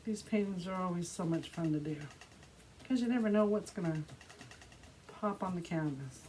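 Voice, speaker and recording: A woman's voice: lowish voice, mellow, low-quality recording. A woman hosting an art tutorial, chatting casually and narrating each step as she works. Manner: unhurried step-by-step narration of own actions. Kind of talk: casual craft demonstration, talking while working. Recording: clearly audible background noise.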